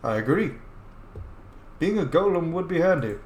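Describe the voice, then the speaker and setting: A man's voice: on the high side, animated, moderate pace, reverberant, a little hiss or hum. A man doing casual video game playthrough commentary and reading out dialogue choices. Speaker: gamer playing through a role-playing game.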